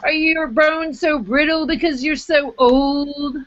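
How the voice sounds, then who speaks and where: A man's voice: extremely high pitch, steady tone, slow pace, a bit bright, no echo, pitched above the performer's own voice, exaggerated voice. A woman voicing her young son, demanding and indignant, in a reading of her own story. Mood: teasing. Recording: dull lo-fi recording.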